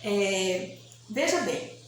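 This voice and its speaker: A woman's voice: medium pitch, expressive, drawn-out words. A woman teacher with a Bahian Brazilian accent giving a grammar lesson, explaining didactically, repeating key points and often checking with short tag questions. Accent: Bahian Brazilian Portuguese. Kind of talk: recorded grammar lesson for pupils. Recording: echoey room, faint background hiss.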